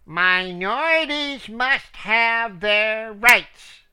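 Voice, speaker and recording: A man's voice: high-pitched, extremely slow, pushed and bright, clear, resonant tone, voice pushed up, exaggerated voice. A man voicing a stern, self-righteous chicken judge handing down a ruling in a rhyming story.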